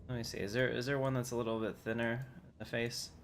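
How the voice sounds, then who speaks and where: A man's voice: medium pitch, level tone, fast talker. A man hosting a casual gameplay livestream, thinking aloud informally as he plays and chats to viewers. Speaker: livestreamer, game player and channel host. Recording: faint noise, keyboard typing.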